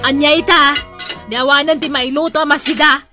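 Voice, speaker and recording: An actress with an Ilocano accent playing a nagging, fault-finding village wife, scolding away in a radio comedy drama. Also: female voice, high-pitched, steady tone, a little fast, music in the background, natural voice. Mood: complaining.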